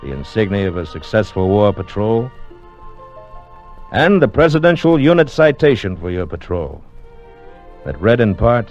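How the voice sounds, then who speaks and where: Confident folksy navy narrator, lowish voice, old radio drama, dull lo-fi sound. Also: male voice, very animated, normal speed, long pauses, darkish tone, soft background music. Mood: assured. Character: confident, easygoing male navy narrator explaining submarine service. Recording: dull lo-fi vintage radio recording.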